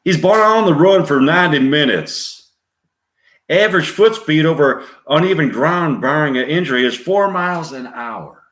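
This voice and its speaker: A man voicing a brisk, authoritative police officer with a Southern US accent briefing his men, in a roomy space. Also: on the high side, animated, a bit bright, voice pushed up. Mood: urgent, authoritative.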